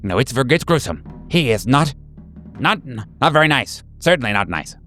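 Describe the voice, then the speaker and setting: A man's voice: medium pitch, big pitch swings, normal speed, pushed and bright, soft background music, voice pushed up. A man voicing a nervous, eager male patient in a horror tabletop game, stammering and sounding congested. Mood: fearful, hushed warning. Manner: stammering, repeating himself.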